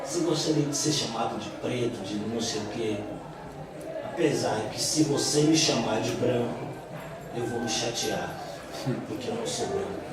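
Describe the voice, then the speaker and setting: A man from Bahia with a Brazilian accent, speaking from the audience at a public discussion, slowly and thoughtfully, with many hesitations. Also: mid-range voice. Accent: Brazilian Portuguese, from Bahia. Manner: slow, thoughtful, with repeated hesitations. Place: café hall, live event. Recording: roomy echo, distant mic, background noise.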